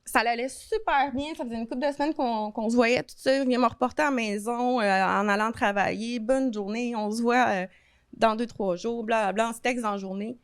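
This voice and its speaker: A woman's voice: on the high side, brisk, clean tone, dry recording, studio quality. Candid Québécoise woman in her thirties. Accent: Québécois French. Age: 30s. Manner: candid, expressive, colloquial storytelling. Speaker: guest. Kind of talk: casual podcast conversation.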